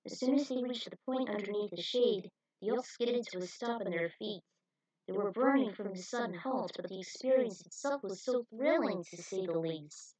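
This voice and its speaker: A woman's voice: mid-range voice, normal speed, a little mellow, breathy edge, poor audio. A woman reading scripted narration of an action story as its narrator. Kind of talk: scripted fan fiction narration.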